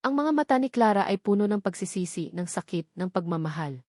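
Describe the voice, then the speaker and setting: A woman reading a scripted dramatic romance story as narrator, in a measured, sentimental storybook delivery. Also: medium pitch, lively intonation, darkish tone, dry recording, studio quality.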